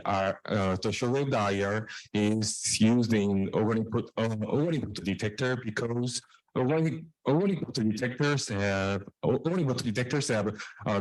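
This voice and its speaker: A man giving a technical research presentation in a halting way, repeating and restarting phrases. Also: mid-range voice, dry recording, rough recording. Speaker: researcher presenting own work.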